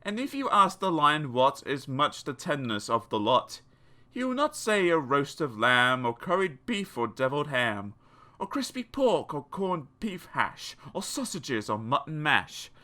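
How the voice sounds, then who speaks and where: Animated man reading children's verse. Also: a little high, unhurried. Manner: theatrical, expressive. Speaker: audiobook narrator. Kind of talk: dramatic children's poetry reading.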